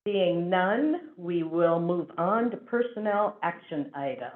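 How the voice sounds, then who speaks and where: A woman's voice: on the low side, lively intonation, takes it slowly. A woman chairing a school board meeting, running through procedure with frequent fillers. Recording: tinny phone-like audio.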